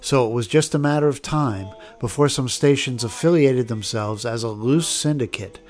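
A man's voice: mid-range voice, moderate pace, darkish tone. American man narrating over music. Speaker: documentary narrator. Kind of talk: scripted narration.

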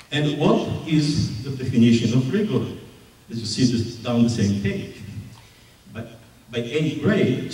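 A man with an Israeli accent giving a slide lecture at a public forum, animated, with mocking rhetorical questions. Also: mid-range voice. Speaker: presenter. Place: large echoey hall. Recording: echoey hall recorded from far off.

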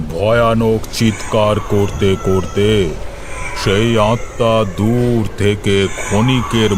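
Slow, low-voiced man narrating horror. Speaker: storyteller narrating as a tantric exorcist. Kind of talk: scripted horror story narration. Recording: clear background noise under narration.

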